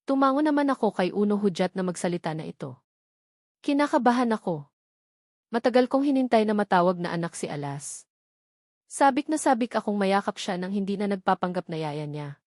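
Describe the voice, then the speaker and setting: A woman's voice: mid-range voice, expressive, a little fast, darkish tone, no echo, clean studio sound. A woman reading a scripted first-person romance novel as an audiobook narrator.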